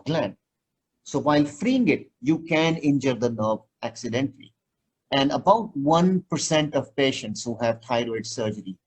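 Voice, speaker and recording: A man's voice: mid-range voice, breathy edge, low-quality recording. A male surgeon answering interview questions, explaining procedures patiently with plain everyday comparisons. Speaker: surgeon guest.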